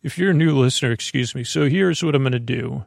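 A man with a creaky voice hosts a bedtime sleep podcast in a soothing, meandering, halting monologue full of stammers and self-interruptions. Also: medium pitch, no echo, studio quality. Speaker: podcast host.

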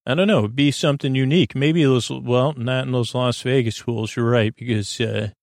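A man hosting a bedtime podcast in a casual, meandering monologue, stammering and halting as he keeps drifting off topic. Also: mid-range voice, fairly even intonation, darkish tone, dry recording, studio quality. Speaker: podcast host.

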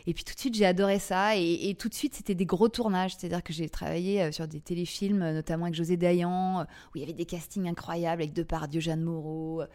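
Woman, Parisian French accent, interview guest, casual storytelling, hesitant phrasing, smooth clean tone, slightly low pitch. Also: fairly even intonation, a little mellow. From Paris, France. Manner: hesitant, halting, searching for words. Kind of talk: casual conversational life story.

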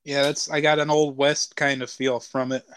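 Clear-voiced man in casual chat. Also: medium pitch, level tone, moderate pace, fairly bright tone. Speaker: podcast co-host.

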